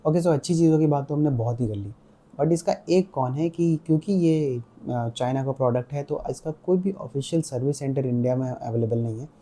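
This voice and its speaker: Indian guy doing a casual gear review, really mellow dark voice, mixes hindi n english, walks you thru it step by step. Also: medium pitch, a little fast. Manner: explanatory, step by step, switching between Hindi and English. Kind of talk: casual product review to viewers.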